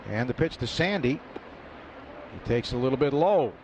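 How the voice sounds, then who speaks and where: A man calling a baseball game live on radio, his voice jumping up in pitch and volume on big plays. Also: animated, normal speed. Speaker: radio play-by-play announcer. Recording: lo-fi radio broadcast with loud ballpark noise.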